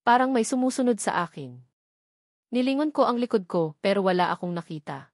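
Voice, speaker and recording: A Filipino woman reads a romantic drama in the first person. Her medium-pitched voice is emotive, with short phrases set apart for effect. The sound is close and dry, with no room around it. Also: expressive, fairly quick, darkish tone, no room sound. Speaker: story narrator.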